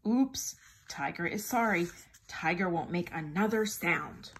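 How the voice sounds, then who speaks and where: A woman's voice: fairly low pitch, a little slow, husky. A woman voicing a meek, childlike male tiger in a storybook, contrite and pleading. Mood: sheepish. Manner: contrite, pleading, speaks of himself in third person. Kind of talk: storybook character acting.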